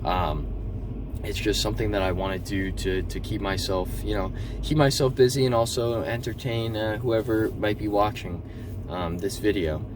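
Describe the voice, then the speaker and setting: A man talking casually with many ums and restarts, in a slightly monotone voice, recorded in a car. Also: on the low side, normal speed. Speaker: eBay seller vlogger. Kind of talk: casual vlog talk. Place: in a car, driving around town. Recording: distant mic, loud car and street noise.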